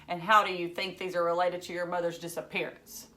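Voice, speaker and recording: A woman voicing a reserved, formal male police sergeant in a children's novel read aloud, measured and polite. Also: on the low side, little pitch movement, pushed and bright, distant mic. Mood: measured, official.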